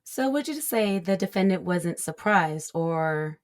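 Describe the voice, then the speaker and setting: A tough female prosecutor in a courtroom drama. Her voice sits a little low. She is cool and formal, measuring out each question. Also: animated, measured pace. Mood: cool, probing. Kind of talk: courtroom audio drama acting.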